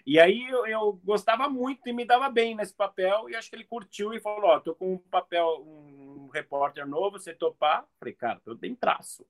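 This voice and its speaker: High voiced guy guesting on a chat show, casual animated storytelling. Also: moderate pace, hardly any pauses. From outside Brazil. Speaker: guest, comedian and TV reporter. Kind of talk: casual interview anecdotes.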